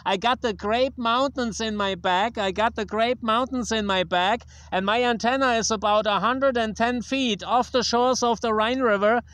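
A man from Germany with a German accent, chatting casually as a ham radio operator and deliberately repeating phrases. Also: very high-pitched, a bit bright, no echo. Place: outdoors on a grassy riverbank. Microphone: handheld tablet recording.

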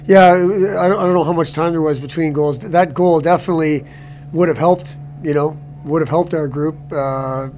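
A middle-aged man, a hockey coach at a postgame press conference, answering seated, with halting speech that repeats words and restarts often. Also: fairly high pitch, steady tone, a little mellow, slight background noise. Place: press room before a sponsor backdrop.

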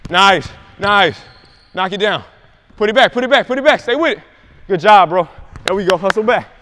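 High-voiced young basketball coach. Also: male voice, normal speed, slight background noise. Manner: energetic, shouts calls on court. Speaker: basketball trainer. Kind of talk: coaching demonstration. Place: large indoor gym.

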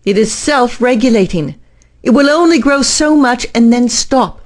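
A woman's voice: a little high, animated, moderate pace, natural voice. A woman playing a middle-aged, earnest and driven scientist in an audio drama, speaking formally and insistently. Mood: proud, intent.

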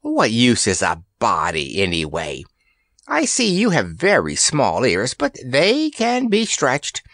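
Oily storybook monster, male narrator acting: gloating, menacing, slow and wildly swooping in pitch. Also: huge pitch swings, a bit bright, dry recording, studio quality, exaggerated voice. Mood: gloating menace.

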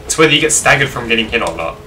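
A man of average pitch talks casually while gaming, blunt and quick to swear. His voice sits away from the microphone, with clear noise around it. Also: lively intonation, pushed and bright. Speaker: video game player. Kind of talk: casual gameplay chat. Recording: distant mic with clear background noise.

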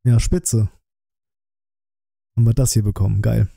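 Male reaction streamer, thirties, very sharp bright voice, dry close-mic sound, casual and blunt. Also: lowish voice, fairly even intonation, unhurried, gravelly, no room sound. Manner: blunt, slangy, colloquial. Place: small indoor streaming room. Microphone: close desk microphone.

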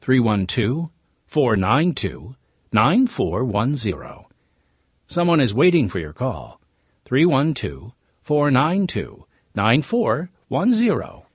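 A man announcing a scripted radio program as its host, with measured, deliberate enunciation. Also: mid-range voice, wildly swooping pitch, slightly rough, dry recording, clean studio sound.

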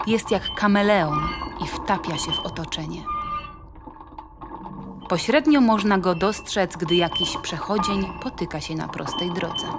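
Woman, low-pitched voice, scripted documentary-style narration, audio play, clear music behind. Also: a little slow.

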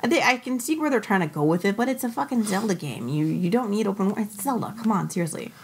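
A woman's voice: mid-range voice, lively intonation, quick pace, slight background noise. A woman co-hosting a gaming podcast, talking casually with a cold and ranting bluntly with plenty of swearing. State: has a cold. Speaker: podcast co-host. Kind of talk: casual chat.

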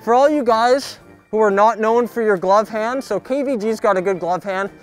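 A young man outdoors giving a jokey sports tutorial, coaching in a mock-serious, deadpan way full of hockey slang. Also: high voice, moderate pace. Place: backyard, outdoors. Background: music.

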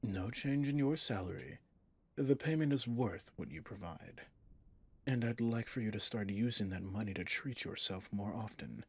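A man playing a possessive villain boss in an intimate ASMR roleplay, speaking formally and commandingly yet indulgently, in a husky, breathy voice. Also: fairly low pitch, normal speed, a little mellow, no echo. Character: smooth possessive male villain boss, doting on favorite servant.

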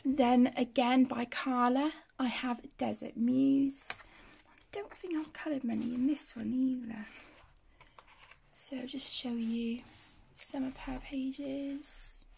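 A woman with the flu, croaky and often half-whispering, casually showing her finished colouring pages as the channel host. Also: sits high, fairly even intonation, takes it slowly, a little mellow, a little hiss or hum. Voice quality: hoarse, often drops to a whisper. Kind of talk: casual flip-through commentary. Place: indoors at a table.

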